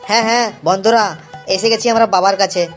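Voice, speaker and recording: A young male pilgrim from an amateur cartoon, acted out by a man, with a notably high voice. He speaks, repeating himself to reassure and sounding devout. Faint music sits underneath. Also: fairly even intonation, a little fast, lightly acted. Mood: reassuring, devout. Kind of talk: amateur cartoon dialogue, vlog-like.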